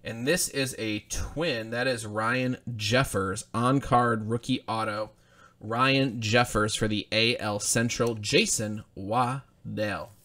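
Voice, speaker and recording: A man's voice: lowish voice, steady tone, takes it slowly, a bit bright. Young guy hosting a card break, chatty, names flying off as he rips packs at a desk. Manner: chatty, rattles off player names while opening packs. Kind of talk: live card-opening commentary. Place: indoor studio with branded backdrop.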